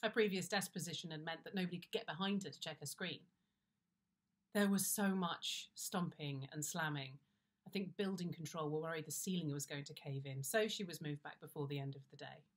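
A middle-aged British woman reading a comic first-person story in a conversational, wry and confiding way with expressive timing. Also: sits low, fairly quick. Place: indoors, plain-walled room.

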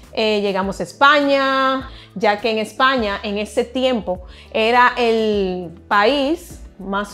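A young woman from the Dominican Republic tells her own story in a chatty, casual way, laughing often and putting on the voices of the people she quotes. Her voice sits a little high. Soft music plays underneath. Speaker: team member telling her migration story.

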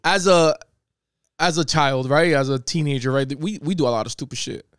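Man, podcast co-host, talkative, dry close sound, slightly high voice. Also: normal speed, clear, resonant tone, no echo. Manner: talkative, hypes the show, restates himself. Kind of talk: casual podcast chat. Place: small podcast studio indoors. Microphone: boom mic on arm.

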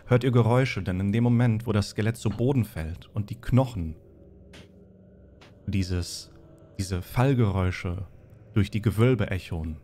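A man running a tabletop game as game master, explaining and narrating in a deliberate way. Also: lowish voice, mellow, a little hiss or hum.

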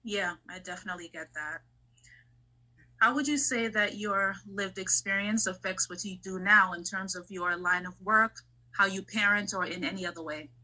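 Very bright-voiced woman hosting a podcast. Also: medium pitch, normal speed, rough recording. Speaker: podcast host interviewing a guest. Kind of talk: casual, reflective interview conversation.

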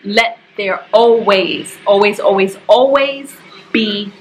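A woman in her forties chats to the camera from the staircase of her home, expressive and easygoing, warmly calling her viewers y'all. She speaks at an everyday middle pitch. Faint room ambience sits under her voice. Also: slow, slight background noise, low-quality recording. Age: 40s. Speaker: budgeting vlogger. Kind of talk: casual direct-to-camera talk. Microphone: camera at close range.

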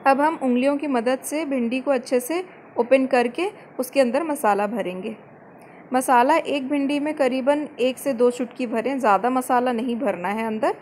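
A woman giving calm step-by-step cooking instructions as the host of a home recipe channel, mixing English words into her Hindi. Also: high-pitched, a little hiss or hum. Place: home kitchen.

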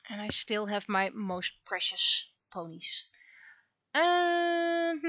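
Bright-voiced middle-aged Dutch woman, dull dry audio. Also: high-pitched, little pitch movement, very slow, no echo. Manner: rambling, thinking aloud. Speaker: streamer and webcomic artist. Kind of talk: casual livestream chat while drawing. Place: indoors at a computer.

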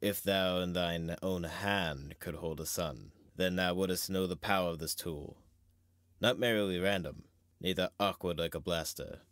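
A man playing a wise, grave old knight and mentor in a measured, theatrical Shakespearean reading. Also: low voice, steady tone, a bit bright, dry recording. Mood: solemn, instructive.

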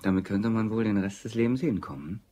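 A man acts out a security courier in a crime radio play. He is easygoing and musing, with a fairly low voice. Faint ambient noise sits around him. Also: a little fast, dark tone, lightly acted. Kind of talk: German crime radio drama acting.

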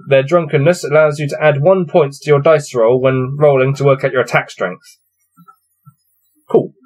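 A man's voice: a little high, poor audio. A young man in his twenties reading a fantasy gamebook aloud at his desk and chatting through his dice rolls with amused laughs. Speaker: reader playing a gamebook. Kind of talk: casual read-aloud with dice commentary. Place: small cluttered room with shelves, indoors.

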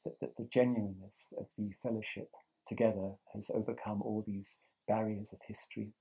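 A man in his sixties from England, answering interview questions outdoors in a thoughtful, halting way with frequent restarts. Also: on the low side, level tone, gravelly, mellow, breathy edge, poor audio. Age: 60s. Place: outdoors on a terrace by a lake.

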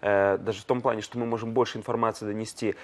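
A male TV host with a low voice fires off questions at a very fast clip. He speaks from a studio and slips fillers in between his phrases. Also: flat delivery. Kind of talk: morning show interview.

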